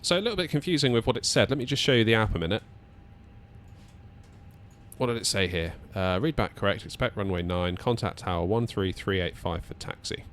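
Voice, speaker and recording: A man with a low voice hosts a flight simulator session in casual commentary. He chats and thinks aloud as he goes, with faint background noise under his talk. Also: expressive, fairly quick. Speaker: flight simulator video host.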